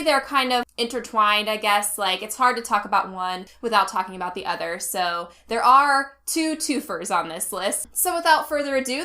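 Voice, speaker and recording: A woman's voice: on the high side, sharp tone. A woman hosting her channel in a casual, rambling chat, her voice congested from a cold. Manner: chatty, rambling with fillers. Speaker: host. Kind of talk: casual video commentary.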